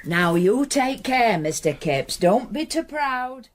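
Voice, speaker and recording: An actress playing a kindly, fussing middle-aged innkeeper's wife in a radio drama. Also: female voice, fairly high pitch, big pitch swings, slow pace, very noisy. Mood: motherly concern. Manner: homely, motherly.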